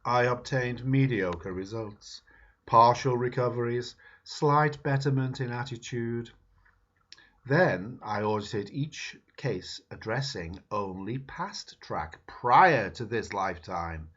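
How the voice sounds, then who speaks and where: A man reading a book foreword aloud in a slow, emphatic, dramatic way. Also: mid-range voice, a bit bright. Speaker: reader. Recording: dull lo-fi recording.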